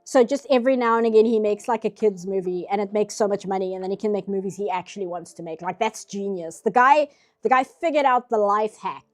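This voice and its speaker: A woman's voice: medium pitch, fast talker, faint music behind. A nasal-sounding woman streaming herself painting, chatting casually in rambling asides. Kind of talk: casual chat while drawing.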